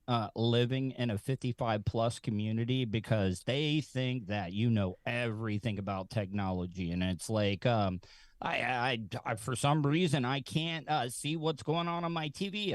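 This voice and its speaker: A man telling casual comic anecdotes as a talk show guest, in a halting, rambling way. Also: mid-range voice, dry recording.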